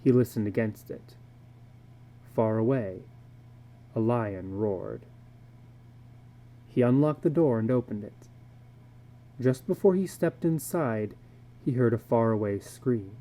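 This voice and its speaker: A man giving a dramatic reading of a short story, deliberate and brooding, with pauses between phrases. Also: medium pitch, warm tone. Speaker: narrator.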